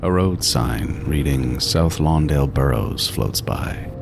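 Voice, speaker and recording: A man playing a meticulous, obsessive serial killer who narrates a horror audio drama in a deliberate, brooding, gloating manner. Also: extremely low pitch, animated, creaky, background noise, exaggerated voice. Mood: disdainful. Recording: music bed under the voice.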